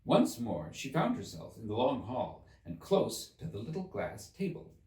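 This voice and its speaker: A man reading a classic children's story aloud as expressive scripted narration. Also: medium pitch, normal speed. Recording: roomy echo, distant mic.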